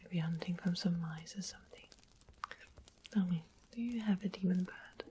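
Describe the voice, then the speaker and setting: A woman voicing a sweet, mischievous witch in an ASMR fantasy roleplay, in a breathy whisper with an unhurried, polite, coaxing manner. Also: fairly low pitch, warm tone, slight background noise, lightly acted. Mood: softly informative. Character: sweet-smiled, mischievous witch of the west, softly sly.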